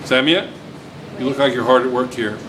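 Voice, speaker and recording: A man's voice: medium pitch, normal speed. A man teaching a class, chatty and teasing, repeating his students' answers back to them. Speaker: physics teacher. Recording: noisy classroom recording.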